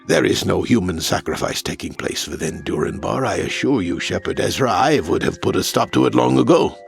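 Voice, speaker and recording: An actor playing a pompous, defensive bishop in an audio drama, indignant and theatrical. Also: male voice, fairly low pitch, animated, brisk, creaky, faint music behind. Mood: smooth denial. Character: imperious, defensive male bishop, outraged authority. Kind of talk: dramatic audio fiction acting.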